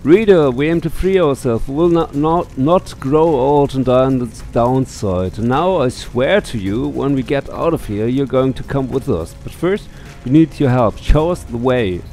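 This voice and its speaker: A man's voice: mid-range voice, lively intonation, moderate pace, few pauses, mellow, soft background music, lightly acted. Man reading as an earnest male exile leader. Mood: earnest resolve. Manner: earnest, declarative. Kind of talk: reading visual novel dialogue aloud.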